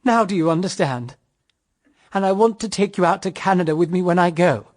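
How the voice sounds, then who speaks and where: A man's voice: high voice, lively intonation, brisk. An actor playing an earnest, self-deprecating, lovestruck man in a period radio drama, speaking a little hesitantly. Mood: pleading.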